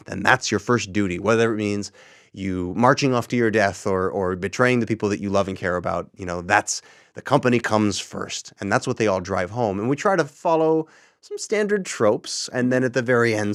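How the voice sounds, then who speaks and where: A man being interviewed as an audio drama creator, talking in an explanatory, articulate way. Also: fairly low pitch. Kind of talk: interview talk.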